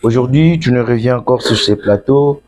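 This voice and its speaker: A man's voice: medium pitch, normal speed, a little mellow. A man with a Congolese accent hosting a conversational interview, often interjecting and echoing what his guest says. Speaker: interviewer, host. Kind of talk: conversational interview, mixing French and Lingala.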